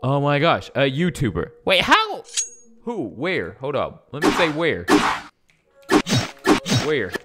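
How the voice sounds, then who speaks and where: Young man, very animated gaming commentary. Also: a little high, takes it slowly, long pauses, over music. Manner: slangy, jokey, rambling. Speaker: gaming YouTuber. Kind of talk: casual gameplay commentary.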